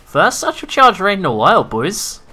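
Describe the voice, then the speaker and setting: Excitable gamer, a bit raspy. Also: male voice, high voice, moderate pace. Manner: excitable, swears freely, hypes viewers. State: slightly raspy from long talking. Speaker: gaming YouTuber. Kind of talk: casual gameplay commentary.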